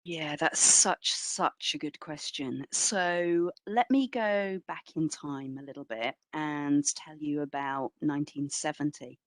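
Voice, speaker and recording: Low-voiced woman speaker, measured and slow. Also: no echo. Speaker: exhibition curator, guest speaker. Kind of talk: answering an audience question in an online talk.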